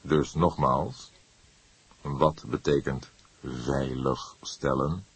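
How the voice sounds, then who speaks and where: A man voicing an old police detective, calm, stern and sardonic, speaking slowly in a deep, husky voice for an audiobook. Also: exaggerated voice. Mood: stern, sarcastic. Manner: slow, measured, formal, emphatic.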